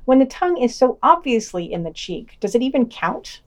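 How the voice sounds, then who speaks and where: A woman's voice: on the high side, sing-song, brisk. A woman reading a scripted news digest and quotes for a podcast, with dry, slightly pedantic wry commentary.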